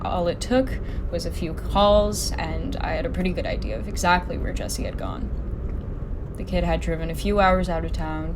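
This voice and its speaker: Actress as jaded female private eye for radio-style drama: deeper register, dry wit, slightly noisy home recording. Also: natural voice. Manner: world-weary, wry, dry. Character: weary, pessimistic, sardonic female private detective, hard-boiled narrator. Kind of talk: scripted audio drama narration and dialogue.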